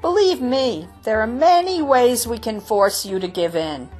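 A woman voicing a stern male village rabbi addressing the chickens loudly. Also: a little high, animated, measured pace, soft background music, voice pushed up. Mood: commanding. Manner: loud, commanding, impatient.

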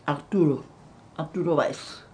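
An elderly woman with a German accent, recalling her past in an interview, halting and repeating words as she searches for them. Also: low-pitched, darkish tone, a little hiss or hum. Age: older. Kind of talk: recounting memories in an interview.

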